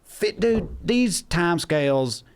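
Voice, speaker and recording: A man's voice: high voice, lively intonation, takes it slowly, clear, resonant tone, no echo. A man with a Southern US accent co-hosting a comedy podcast, chatting casually in a folksy, profane, musing way. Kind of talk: casual comic chat.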